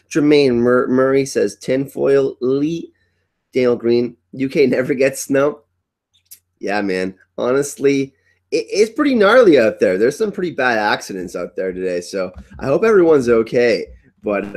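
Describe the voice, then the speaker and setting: A congested young man with a cough, hosting a casual livestream from home, upbeat and rambling as he greets his chat. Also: medium pitch. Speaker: livestream host. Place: at home indoors.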